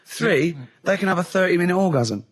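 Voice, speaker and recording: A man hosting a radio show with casual comic banter, teasing and mock-serious, quick to giggle. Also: high voice, expressive, dry recording, studio quality.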